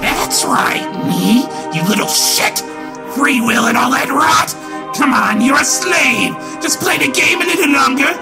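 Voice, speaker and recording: Shrill, manic demonic figurine. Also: male voice, lively intonation, measured pace, vocal fry, full character voice. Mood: gleeful bullying. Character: manic, gleeful, bullying demonic doughboy figurine. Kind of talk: dramatic comic-book dub. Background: loud music under the voice.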